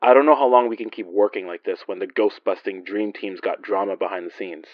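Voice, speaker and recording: A man's voice: fairly low pitch, brisk, no room sound, muffled recording, lightly acted. An actor playing a weary, guarded male investigator in an audio drama, speaking in a wry, hesitant, conversational way. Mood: defensive, wry. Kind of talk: naturalistic audio drama acting.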